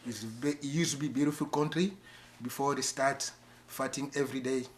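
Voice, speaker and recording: Earnest man from Congo, halting English. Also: mid-range voice, fairly even intonation, echoey room, slight background noise. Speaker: interviewee. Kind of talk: documentary interview.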